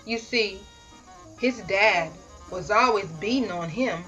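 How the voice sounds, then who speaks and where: A woman reading a children's story aloud as host, expressive and dramatic, drawing out key words for emphasis. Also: medium pitch, slow pace, muffled recording, soft background music. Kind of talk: read-aloud children's picture book narration.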